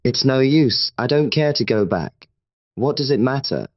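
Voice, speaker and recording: A man's voice: medium pitch, lively intonation, normal speed, muffled recording, pitched above the performer's own voice, lightly acted. A man voicing a listless, defeated young man in an audiobook, weary and resigned. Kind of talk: dramatised audiobook character reading.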